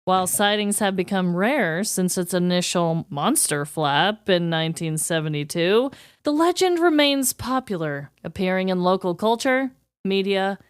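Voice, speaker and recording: A woman podcast host with a clear, smooth voice at a middle pitch. She talks casually, moving between chat and her notes, in a very dry recording. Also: animated, measured pace, dry recording.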